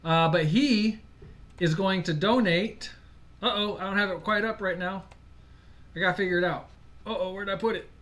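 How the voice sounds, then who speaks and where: A man's voice: sits high, normal speed, long pauses, fairly bright tone. Chatty man hosting a live stream. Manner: chatty, laughs easily, loses his place. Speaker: livestream co-host. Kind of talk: casual live-stream chat.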